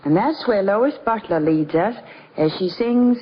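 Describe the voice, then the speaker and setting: A woman's voice: medium pitch, expressive, measured pace. A woman hosting a 1950s radio program with formal, scripted delivery. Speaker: radio host. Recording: muffled, hissy 1950s radio recording.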